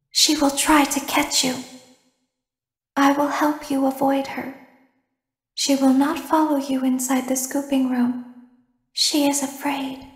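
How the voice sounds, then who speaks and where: High, slow, eerie girlish robot. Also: echoey room. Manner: hushed, slow, deliberate, breathy. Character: soft-voiced childlike female animatronic robot, eerie, secretive. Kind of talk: video game character monologue.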